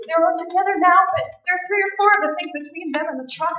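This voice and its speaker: A woman acting out a terrified wife keeping watch in a horror radio play. Her voice is extremely high and bright, halting and anguished. It carries from a distance in a very reverberant room. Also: dull audio. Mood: anxious watching. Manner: breathless, halting, anguished exclamations. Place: live stage performance. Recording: distant echoey hall, faint noise.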